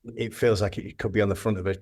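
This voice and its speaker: A man's voice: lowish voice, fairly even intonation, rapid-fire, darkish tone. A man in his thirties, a graphic designer, talking casually in a podcast interview, rambling and restarting his sentences. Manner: rambling, hesitant, with frequent restarts.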